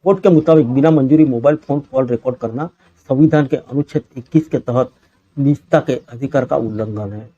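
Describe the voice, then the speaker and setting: A man's voice: medium pitch, brisk, dark tone, dry recording, highs cut off. A man reads a scripted news bulletin as the presenter.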